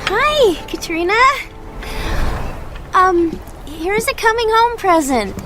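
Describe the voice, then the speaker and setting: A woman's voice: very high voice, sing-song, takes it slowly, over music, exaggerated voice. An actress playing a chatty, impulsive young woman and loyal friend in a family radio drama, eager and teasing. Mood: awkwardly cheerful.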